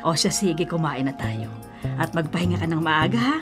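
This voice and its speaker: A rather low-voiced Filipino woman in a radio melodrama. She is caring and earnest, always comforting those close to her, with prominent music behind the voice. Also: lively intonation, a little fast. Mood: tender. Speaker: radio drama actress. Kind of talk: Tagalog radio drama acting.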